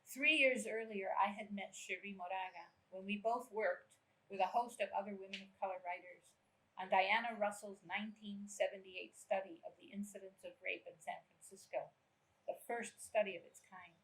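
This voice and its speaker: An older woman from Puerto Rico giving a keynote talk in a university amphitheater, reading her own prose in a measured, lyrical way and switching between Spanish and English. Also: mid-range voice, steady tone, fairly bright tone, low-quality recording.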